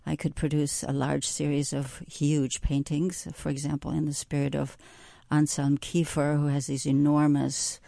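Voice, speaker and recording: A woman's voice: deep voice, little pitch movement, normal speed, gravelly, hardly any pauses, mellow, dry recording, studio quality. A woman, a visual artist, answering interview questions thoughtfully, hesitating and searching for words. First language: German.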